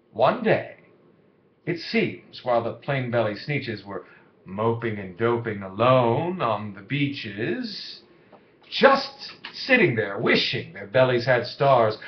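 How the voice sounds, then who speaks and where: A man's voice: mid-range voice, sing-song, slow pace. A man reading a children's rhyming story aloud, with theatrical cadence and big shifts in volume. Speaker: storybook reader. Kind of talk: dramatic children's story narration. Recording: muffled lo-fi, echoey room.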